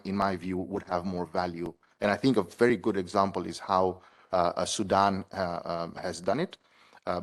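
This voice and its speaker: A man, a PhD candidate answering examiners formally and measuredly, with frequent pauses and restarts. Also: low-pitched. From Tanzania. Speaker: PhD candidate defending a thesis.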